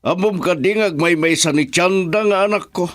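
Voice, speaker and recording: A man's voice: sits high, moderate pace, fairly bright tone, dry recording, studio quality. A man acting a wealthy, stern, condescending father in a radio drama, with a Filipino accent. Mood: haughty, pressing. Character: wealthy, haughty, disapproving father of a young woman.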